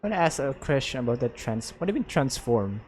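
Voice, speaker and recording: A man's voice: mid-range voice, very animated, fast talker, darkish tone. Casual Filipino-accented man streaming a game. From Philippines. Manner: code-switches between English and Tagalog. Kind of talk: casual live gameplay chat, reading dialogue aloud. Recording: game music behind.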